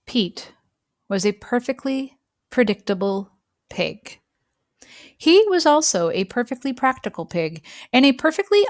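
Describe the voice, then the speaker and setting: A woman reads a children's story aloud in an expressive narrating style, phrasing deliberately and leaving dramatic pauses. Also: mid-range voice, no echo, studio quality. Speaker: storybook reader.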